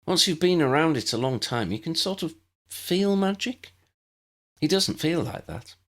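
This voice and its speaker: A man's voice: fairly high pitch, animated, a little slow, a bit bright, pitched above the performer's own voice. A man voicing a friendly, helpful young schoolgirl witch in an audiobook, chatty and reassuring as she explains things. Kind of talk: audiobook character voice.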